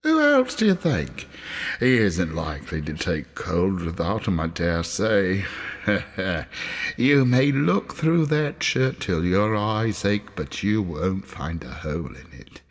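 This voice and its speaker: A man's voice: deep voice, sing-song, takes it slowly, full character voice. A man voicing a brazen, gloating charwoman in a storybook reading, with long reverb on the recording.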